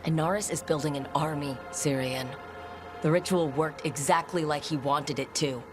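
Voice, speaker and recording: An actress playing a steady, frank adventurer and leader in a game cutscene, direct and matter-of-fact. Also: female voice, low-pitched, normal speed, lightly acted. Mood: firm, challenging. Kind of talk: dramatic video game cutscene acting. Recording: loud background music.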